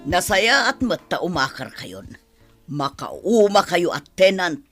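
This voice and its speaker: A woman's voice: fairly low pitch, big pitch swings, takes it slowly, a bit bright, soft background music, exaggerated voice. A woman playing a stern, fed-up older landlady who nags and scolds in a radio drama. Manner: nagging, scolding.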